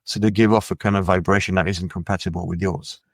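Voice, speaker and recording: A middle-aged man from France, a musician guest in a casual interview, talking in a relaxed, musing way with many fillers. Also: low-pitched, quick pace, no echo, studio quality. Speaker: musician and label head, guest.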